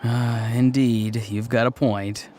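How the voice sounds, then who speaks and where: A polite, earnest grandson from a video game, acted by a man, speaks in a medium-pitched male voice. He is formal and hesitant, taking his scolding with guilty stoicism. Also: level tone, takes it slowly, a little mellow, faint music behind, lightly acted. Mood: sheepish, earnest.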